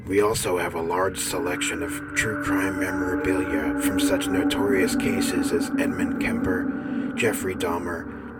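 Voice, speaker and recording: Male ad announcer, very deep voice, slow ominous read, loud music underneath.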